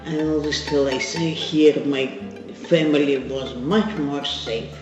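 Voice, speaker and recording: Russian-speaking guy, slow careful english, hall echo, muffled, music loud behind. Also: a little high, fairly even intonation. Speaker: interviewee.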